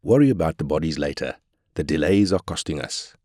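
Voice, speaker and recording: A man's voice: a bass voice, expressive, brisk, warm tone, dry recording, clean studio sound. A man playing a ruthless, arrogant corporate tycoon, brash and cynical, in scripted video game lines. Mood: irritated, callous. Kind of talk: scripted game character quotes.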